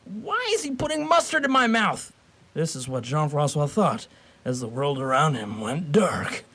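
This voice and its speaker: A man narrating a scripted radio play as a theatrical, deliberate storyteller. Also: a little high, big pitch swings, bright, forceful tone.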